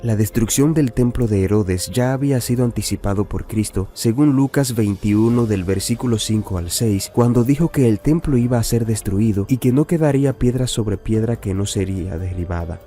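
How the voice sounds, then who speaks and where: A man reading a scripted religious news report in a measured, even way. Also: fairly low pitch. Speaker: narrator. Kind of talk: scripted narration.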